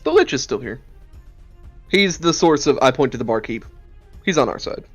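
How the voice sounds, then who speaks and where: A man's voice: mid-range voice, animated, moderate pace, muffled recording, soft background music, natural voice. A man playing a dutiful, matter-of-fact crewman who explains things deferentially in a tabletop game. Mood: explanatory.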